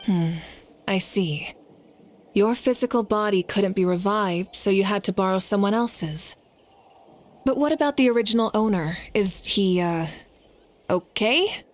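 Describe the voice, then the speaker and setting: A woman voicing a courteous young leader and fire deity in a video game, speaking formally and sincerely in measured phrases. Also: medium pitch, lightly acted. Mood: courteous, concerned.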